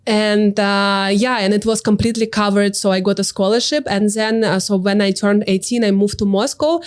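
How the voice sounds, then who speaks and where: A woman's voice: medium pitch, steady tone, moderate pace. A young woman from Russia, a course mentor, telling her life story in a casual interview, with frequent fillers and restarts. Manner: hesitant, many fillers and restarts.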